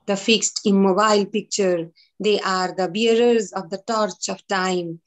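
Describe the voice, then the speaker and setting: A young woman recites her poem in a slow, measured way. She reads over a video call from a room at home, her voice sitting at an ordinary middle pitch. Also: fairly even intonation. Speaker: poet. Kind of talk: poetry recitation.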